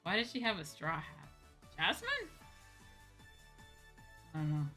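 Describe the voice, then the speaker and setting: Bright-voiced woman livestreamer, chatting casually. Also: low voice, measured pace. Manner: animated, informal, chatty, often seeks agreement with "right?". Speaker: VTuber streamer chatting with viewers. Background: background music.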